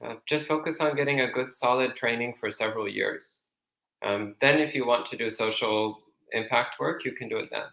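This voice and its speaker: A man's voice: mid-range voice, moderate pace, gravelly, sharp tone, reverberant, low-quality recording. A man speaking as a monk who gives plain, practical advice with everyday comparisons. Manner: plain-spoken, uses everyday analogies. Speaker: monk answering questions. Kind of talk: casual explanatory advice.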